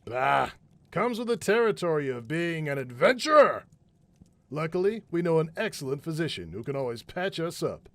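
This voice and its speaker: A man's voice: on the high side, big pitch swings, normal speed, dry recording. A man playing a hearty, carefree warrior adventurer in a fantasy audio drama, bluff yet courteous, with formal old-fashioned phrasing. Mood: boastful, jovial.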